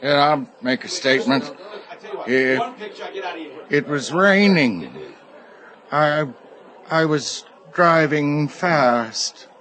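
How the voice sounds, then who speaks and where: Slow, tormented, desperate man. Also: fairly high pitch, fairly bright tone. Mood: dazed. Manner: halting, desperate. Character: tormented guilt-ridden male judge, unravelling. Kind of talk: radio drama acting. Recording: noisy radio drama recording.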